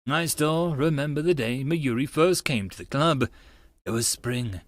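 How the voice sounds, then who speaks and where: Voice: medium pitch, measured pace, no room sound. An audiobook narrator giving a theatrical, emphatic first-person reading of an anime-style story. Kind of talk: dramatic first-person reading of a visual novel.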